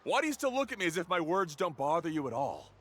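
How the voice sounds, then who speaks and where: A boastful, bright-voiced young rival. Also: male voice, a little high, sing-song, fast talker. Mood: indignant. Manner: boastful and mocking. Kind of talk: game voice acting.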